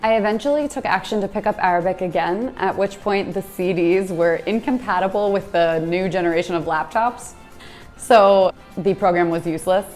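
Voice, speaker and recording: A woman's voice: mid-range voice, moderate pace, darkish tone, faint music behind. A woman in her twenties from the United States, giving a candid, self-deprecating talk and telling stories from her own life. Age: 20s. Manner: candid, self-deprecating, storytelling with small laughs. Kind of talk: reflective personal monologue.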